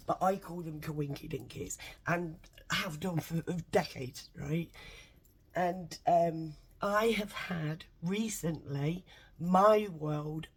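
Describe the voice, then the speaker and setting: Woman vlogging at home, stuffy bunged-up nose, gravelly and a bit husky, swears loads, rambles. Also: low voice. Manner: sweary, excitable, tangential. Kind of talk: rambling casual monologue.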